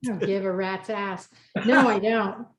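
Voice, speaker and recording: A woman's voice: mid-range voice, steady tone, a little slow. A middle-aged American woman chatting casually as a host, talkative and rambling as she tells stories with quoted asides. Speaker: host and book co-author. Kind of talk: casual personal chat. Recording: echoey room, slightly dull audio.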